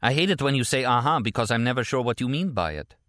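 Casting: tender, anxious, teasing woman for a novel male narrator acting her, raised pitch, lively inflection. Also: quick pace, no echo, clean studio sound, lightly acted. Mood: earnest, troubled. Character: frightened, teasing, tender American woman on a phone call.

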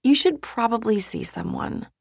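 Woman narrator doing an earnest fretful young woman friend, audiobook dialogue, higher voice, dry sound. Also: big pitch swings, normal speed, vocal fry, a little mellow, breathy edge, no echo, exaggerated voice. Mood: gently urging.

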